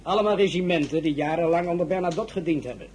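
An actor playing a sober, loyal French colonel and prisoner of war, formal and deferential, in an audio drama. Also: male voice, a little high, quick pace, a little mellow, a little hiss or hum, close to an everyday voice. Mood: firm. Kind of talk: Dutch audio drama acting.

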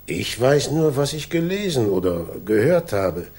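An actor playing a stiff, grieving upper-class colonel, formal and reserved, with a gravelly, husky voice in a 1960s German radio drama. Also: male voice, medium pitch, sing-song, measured pace, a little mellow. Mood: guarded, formal.

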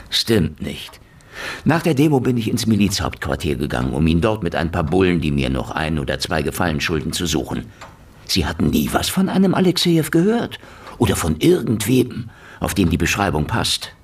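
A sardonic hard-boiled investigator, swinging pitch. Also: male voice, very animated, moderate pace, breathy edge, heavily acted. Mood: sardonic, probing. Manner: sardonic, flippant, needling. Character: cynical, wisecracking hard-boiled male investigator in Soviet Union. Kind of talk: German radio play acting.

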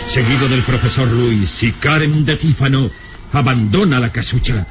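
A man's voice: mid-range voice, normal speed, faint music behind, close to an everyday voice. An actor as a suspenseful narrator of a radio serial, formal and emphatic in his dramatic phrasing. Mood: solemn, dramatic.

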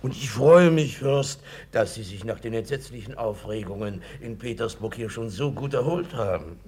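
A man's voice: medium pitch, animated, normal speed, darkish tone, a little hiss or hum, natural voice. An actor playing an obsequious, scheming male landlord in a 1950s radio play, gushing and conspiratorial.

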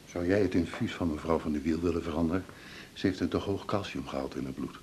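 An actor playing a wry, brisk male hospital doctor advising a colleague in a radio drama. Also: deep voice, darkish tone, close to an everyday voice. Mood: brisk, instructing. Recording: radio recording with faint noise.

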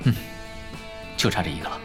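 An actor playing a laid-back, skilled male pro gamer, calm and focused, in a Chinese audio drama. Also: a little fast, over music, lightly acted.

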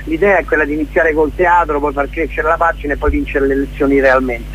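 A man's voice: a little high, fairly even intonation, quick pace, fairly bright tone, slight background noise. A man being interviewed casually as a theatre guest, searching for his words as he explains things. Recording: dull lo-fi radio sound.

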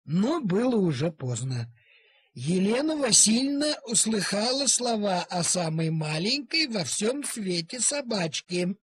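Very husky, high-pitched male storyteller. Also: sing-song, fairly bright tone, no room sound. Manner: theatrical, drawn-out key words. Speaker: narrator. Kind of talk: expressive scripted story narration.

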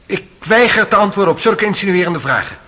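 Husky, bright, defensive young witness. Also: male voice, high-pitched, animated, a little fast, full character voice. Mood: flustered, evasive. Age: young adult. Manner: prim, evasive. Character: young, self-important, defensive male journalist as witness. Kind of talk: Dutch radio drama courtroom acting.

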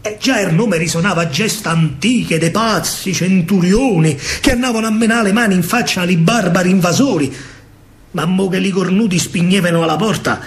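A man with a Roman accent performs a theatrical verse monologue as a soldier recalling wartime, in measured, weighted phrases. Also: high-pitched. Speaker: actor and storyteller. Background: music.

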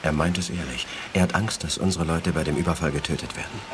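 An actor playing a calm, terse telepath crewman in a science fiction radio play. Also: extremely low pitch, quick pace, noisy background. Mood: sober.

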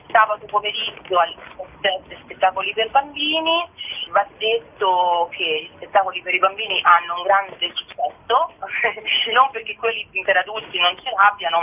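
A woman's voice: medium pitch, slightly rough. A woman being interviewed on radio, explaining casually and discursively, often qualifying what she says as she goes. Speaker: guest, member of a theatre collective. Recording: muffled thin phone-like radio sound.

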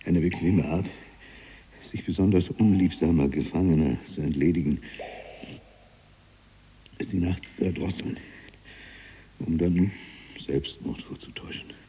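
An actor playing a learned, fearful prisoner in a brooding radio drama monologue, his husky, breathy voice halting and given to whispers. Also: low voice, mellow, a little hiss or hum, rough recording. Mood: fearful, hushed.

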